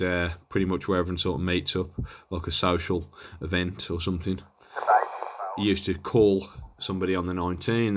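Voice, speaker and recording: Low-voiced man, casual and offhand. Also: background noise. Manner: hesitant, offhand, understated. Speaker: presenter of a radio gear review. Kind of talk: casual hands-on product demonstration.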